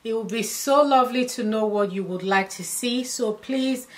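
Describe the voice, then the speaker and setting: A woman in her thirties chatting to camera as a fashion vlogger, affectionate and chatty, a little hoarse from losing her voice, recorded in a dressing room by a camera a few steps away. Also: a little high, moderate pace. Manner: chatty, affectionate, addresses viewers as darlings. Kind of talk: casual styling talk to camera.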